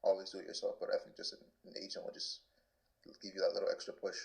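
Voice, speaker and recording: A man's voice: low voice, fast talker, creaky. A man in his twenties from Canada, answering interview questions casually and loosely, with lots of filler words and restarts. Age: 20s. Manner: relaxed, many "like" fillers and restarts. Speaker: guest soccer player.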